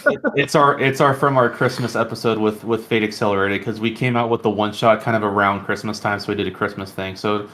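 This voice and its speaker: A man's voice: lowish voice, fairly even intonation, a little fast, fairly bright tone, a little hiss or hum. A man hosting a podcast, chatting casually and enthusiastically about tabletop games. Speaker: podcast host.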